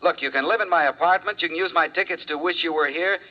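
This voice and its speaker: A man playing a brisk teasing claims boss on old radio. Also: on the high side, natural voice. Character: brisk male insurance claims manager. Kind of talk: 1950s radio drama acting. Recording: lo-fi vintage radio recording.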